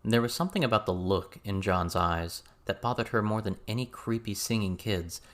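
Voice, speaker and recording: A man's voice: lowish voice, moderate pace. A man reading a scripted story as an audiobook narrator.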